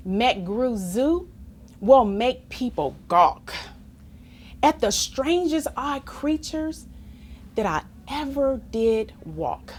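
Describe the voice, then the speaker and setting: A woman reading a children's story aloud in an expressive, playful, theatrical manner, as if to young listeners. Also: fairly high pitch, a little hiss or hum. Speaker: story reader.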